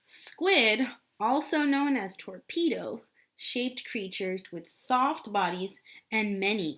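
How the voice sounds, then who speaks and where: A woman's voice: on the high side, fairly bright tone. A woman teacher reading a book aloud as a lesson, repeating key points and adding simple explanations for children.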